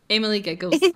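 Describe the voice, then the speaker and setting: A woman with a mid-range voice hosts a gaming session. She reads visual novel lines aloud casually and playfully, giggling and poking fun at herself. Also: mid-range voice. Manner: giggly, self-mocking. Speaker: gaming video host.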